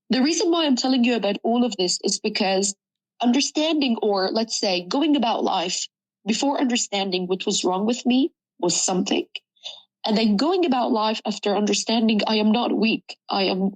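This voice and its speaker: A young woman from Sudan, a native Arabic speaker, earnestly reflecting on her life in an interview, pausing with ums and correcting herself. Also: mid-range voice, poor audio. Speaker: student interviewee. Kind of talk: personal interview.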